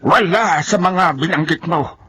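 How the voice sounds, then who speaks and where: An actor playing a forceful, commanding folk healer who battles evil spirits in a Filipino radio horror drama, with emphatic delivery and a Filipino accent. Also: male voice, fairly high pitch, a little slow, husky. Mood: grave, explaining.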